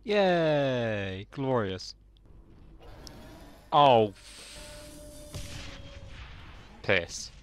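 A young man, slightly croaky, giving sarcastic, sweary commentary while playing a game. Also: mid-range voice, sing-song, very slow, long pauses. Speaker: let's play gamer. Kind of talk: casual gameplay commentary.

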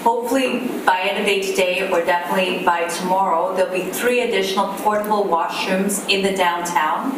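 A woman with a low voice giving a staff update in a video meeting, speaking steadily with fillers. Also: brisk, hardly any pauses. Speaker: city staff presenter. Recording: roomy distant video call audio with background noise.

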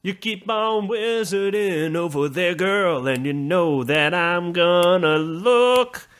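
A man's voice: high-pitched, expressive, slow, a bit bright, soft background music. A man co-hosting a comedy podcast in casual, jokey banter, often laughing as he talks. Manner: jokey, prone to laughing while talking. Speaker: podcast co-host.

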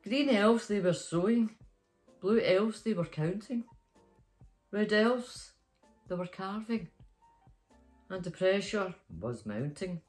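A woman's voice: medium pitch, lively intonation, slow pace, long pauses, darkish tone, faint music behind, rough recording. A middle-aged or older Scottish woman, a grandmother, chatting playfully and reading a story to children in a bedroom. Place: small bedroom, indoors.

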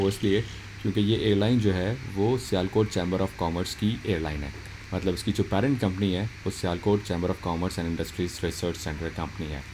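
A young man from Pakistan, giving a relaxed travel review to a phone held at arm's length and mixing English phrases into his talk. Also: low voice. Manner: relaxed, talks to camera, mixes English phrases in. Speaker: travel vlogger. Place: airport terminal and plane cabin, indoors. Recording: noisy airport and cabin background.

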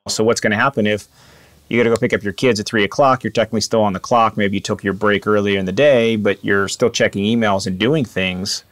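A man's voice: on the low side, steady tone, quick pace, a bit bright, dry recording. A man in his thirties hosting an interview podcast, asking probing questions in a conversational way. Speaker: podcast host.

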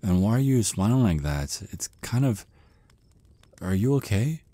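A man's voice: on the low side, very animated, unhurried, mellow. A man playing a shy, nervous, smitten vampire on a first date in an ASMR roleplay, stammering and hesitant. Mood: awkward, flattered.

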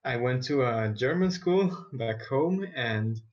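A young man from Ecuador about to start university, introducing himself slowly and carefully. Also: mid-range voice, expressive, dull audio. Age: young adult. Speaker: interviewee.

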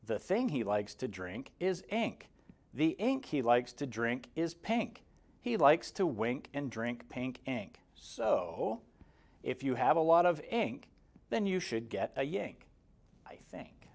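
A man in his forties reads a rhyming picture book aloud for small children. His mid-pitched voice rises and falls in an expressive sing-song. He keeps a slow, measured pace and gives key words clear emphasis. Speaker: guest story reader for kindergarteners. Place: indoor room, seated in front of a banner. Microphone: lapel mic.

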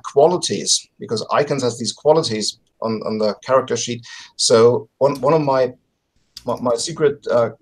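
A man with a husky, mid-pitched voice talks as a guest in a casual chat. His phrasing is halting and careful, over a video call from home. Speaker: podcast guest.

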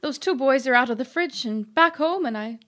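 A woman acting a mild-mannered trader in an audio drama, with a slightly high voice. She speaks calmly and courteously, keeping her composure. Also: normal speed. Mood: calm, relieved. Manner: courteous, calm.

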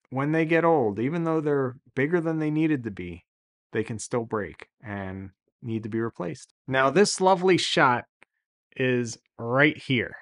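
A man in his thirties explaining sailing gear casually to the camera, relaxed and enthusiastic, often saying "really" and "uh", recorded in a small office at desk distance. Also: mid-range voice. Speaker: sailing vlogger explaining boat repairs.

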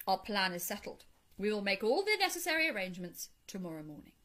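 A woman voicing a stern, authoritative older male badger who speaks deliberately in a storybook reading. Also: mid-range voice, very animated, fairly bright tone. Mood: decisive, approving.

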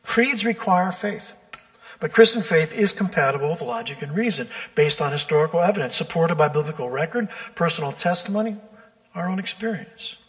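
A man preaches from the pulpit in a slightly high voice. He is measured and deliberate, drawing out key words for emphasis. Long reverb from the church sanctuary trails behind his voice. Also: expressive, fairly bright tone. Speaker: pastor. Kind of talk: sermon.

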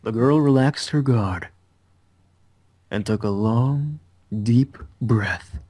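A man's voice: mid-range voice, takes it slowly, mellow, no room sound. A man narrates a visual novel, reading aloud in a mid-range voice. The sound is dry, with no room on it.

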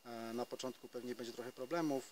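A man in his thirties explains a breathing exercise for trumpet players in an unhurried, searching way, often stopping to rephrase. His voice sits in an ordinary middle range. Faint insects and open-air ambience surround him, picked up by a camera a few steps away. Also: mid-range voice, fairly even intonation, slight background noise. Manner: explanatory, with hesitations and restarts. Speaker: trumpet teacher. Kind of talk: casual spoken lesson. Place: outdoors in a forest.

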